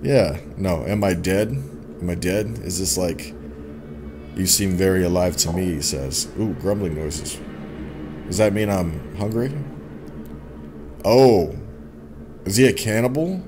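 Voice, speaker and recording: Low-pitched young man, unhurried gaming chat. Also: expressive, background noise. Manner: informal, chatty, playful reactions. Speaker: gaming YouTuber host. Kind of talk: casual let's play commentary, reading game text aloud. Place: indoor home gaming setup. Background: game music.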